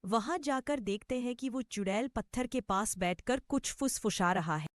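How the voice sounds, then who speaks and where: A woman's voice: a little high, animated, moderate pace, very noisy. A woman narrating a scripted story.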